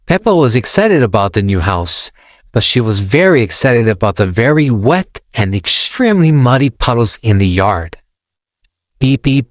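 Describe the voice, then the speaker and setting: A man narrating a children's storybook aloud in an expressive, sing-song way, close to the mic. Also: fairly low pitch, moderate pace, dry recording.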